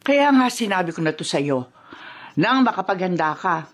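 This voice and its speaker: Radio drama: two-faced mistress, Filipino accent, very animated, theatrical exclamations. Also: female voice, fairly low pitch, normal speed. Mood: anxious, conspiring. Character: defensive woman secretly having affair with friend's husband.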